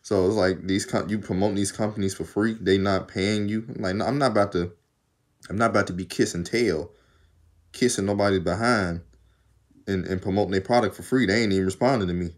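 Young man, Southern accent, low voice, rambling vlog chat, phone mic in a small room. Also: fairly even intonation, a little fast. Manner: rambling, talkative, laughs often. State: arms tired while undoing braids. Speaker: vlogger. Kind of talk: casual chat to camera. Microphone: phone camera at arm's length.

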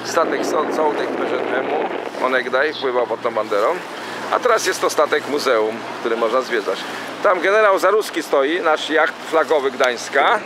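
A man's voice: medium pitch, expressive, normal speed. A man giving a casual, rambling running commentary as he films from a small boat on a city river. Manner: chatty, rambling with fillers and self-corrections. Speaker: amateur tour guide on a boat. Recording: loud wind and boat engine noise.

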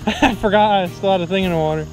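Young guy fishing outside, kinda high voice, slow laid back joking, music in back. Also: darkish tone, poor audio. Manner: laid-back, jokey exaggeration. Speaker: fishing vlogger. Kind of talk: casual chat while fishing. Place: outdoors on a wooded river. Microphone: action camera worn or held by him.

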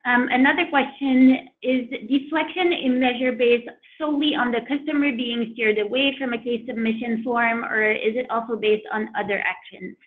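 A woman's voice: on the high side, fairly even intonation, normal speed. A woman hosting a product webinar, presenting and reading audience questions in a friendly way with many fillers. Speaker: webinar host. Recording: tinny phone-like line.

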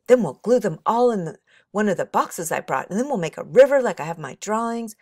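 A woman's voice: medium pitch, lively intonation, fairly quick, darkish tone, dry recording, voice pushed up. An eager schoolboy from a storybook who likes to take charge, read out by a woman. The delivery is emphatic and lifted, with a dry, close sound. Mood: bossy, excited. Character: bossy, excited schoolboy planner in a storybook.

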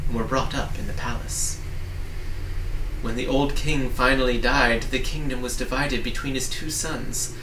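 Bright, creaky-voiced man reading a storybook. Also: mid-range voice. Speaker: reader. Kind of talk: storybook narration, read aloud. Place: small book-lined home study, indoors. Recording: roomy, distant mic with faint noise.

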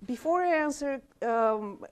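A woman's voice: high-pitched, lively intonation, measured pace. A woman in her forties from Bulgaria with a Bulgarian accent, earnestly giving a conference talk at a podium mic in a small room. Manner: earnest, gestures with both hands. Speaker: conference speaker. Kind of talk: conference presentation.

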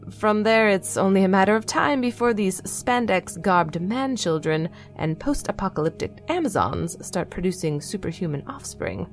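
An actress playing a weary, exasperated android nun giving a broadcast in a dry, articulate, wry manner in an audio drama. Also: female voice, medium pitch, lively intonation, normal speed, few pauses, faint music behind, lightly acted. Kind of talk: scripted audio drama monologue.

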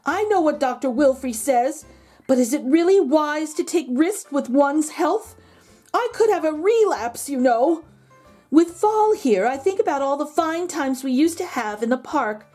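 A woman's voice: sits high, animated, unhurried, mellow, soft background music. A woman voicing a self-pitying, melodramatic dog from a storybook, pleading and stretching out words theatrically. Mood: wistful, pleading. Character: melodramatic, self-pitying male dog writing letters home.

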